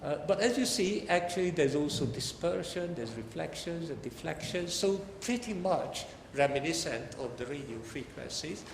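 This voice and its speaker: A man's voice: fairly high pitch, lively intonation, moderate pace, gravelly, few pauses. An older man giving a technical lecture as a professor, speaking conversationally with wry asides and emphatic stresses. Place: large lecture hall, indoors. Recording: echoey hall, faint background noise.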